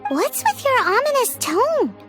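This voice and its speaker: Tiny childlike game companion, extremely high squeaky voice, very animated, cheeky, anime style, music behind. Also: female voice, unhurried, heavily acted. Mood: cheeky, suspicious. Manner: speaks of self in third person.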